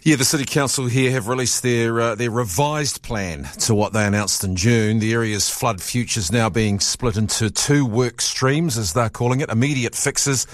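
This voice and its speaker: A man giving a steady regional news and weather report on radio, with frequent fillers. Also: mid-range voice, normal speed, hardly any pauses, no room sound.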